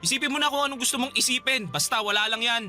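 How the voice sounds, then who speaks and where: A man's voice: extremely high pitch, very fast, bright, forceful tone, soft background music, heavily acted. A man playing a defensive, callous young boyfriend in a Tagalog radio drama, irritable and dismissive. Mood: evasive, irritated.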